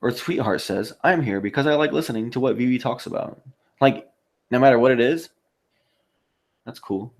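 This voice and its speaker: A man hosting a casual livestream, reading out chat comments and replying in a rambling, halting way. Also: medium pitch, vocal fry. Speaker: live stream host.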